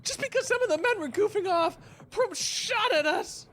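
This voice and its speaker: A woman's voice: very high voice, lively intonation, normal speed, fairly bright tone. A woman playing a panicky, anxious sailor man in an audio drama, frantic and whiny.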